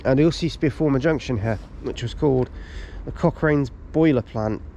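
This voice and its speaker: Man, stuffy from a cold, casual chatty narration, ums and restarts, outdoor platform, camera mic, clear background noise. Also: medium pitch, a little fast, dark tone, highs cut off. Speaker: railway vlogger.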